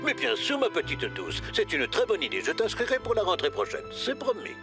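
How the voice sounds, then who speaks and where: A man's voice: high-pitched, big pitch swings, a little fast, creaky, heavily acted. A man voicing a sweetly indulgent father in a children's cartoon, with a coaxing, affectionate way of talking. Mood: doting. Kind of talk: French-language children's cartoon dub. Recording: music bed behind the voice.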